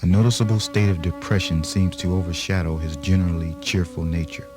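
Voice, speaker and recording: A man's voice: low voice, steady tone, a little fast. A man reading scripted narration for a radio theatre tale. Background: music.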